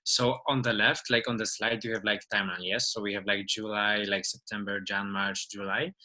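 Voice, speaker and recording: A man in his twenties with a Polish accent, presenting slides informally and haltingly. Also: on the low side, flat delivery, bright, forceful tone. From Poland. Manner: halting, with frequent filler words. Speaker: webinar presenter.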